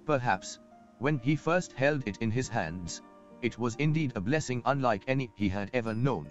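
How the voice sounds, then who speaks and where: An actor playing a self-assured, wealthy merchant in a video game, speaking formally and eloquently in old-fashioned phrasing. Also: male voice, medium pitch, lively intonation, moderate pace, natural voice. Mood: solemn, reflective. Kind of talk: scripted video game dialogue. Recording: muffled game audio with background music.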